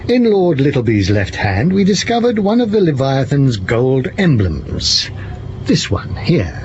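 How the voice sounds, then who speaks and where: An actor playing a shrewd, theatrical French police commissioner in an audio drama, pompous and teasing as he deliberates. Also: mid-range voice, sing-song, darkish tone. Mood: stern, triumphant. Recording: muffled, with background noise.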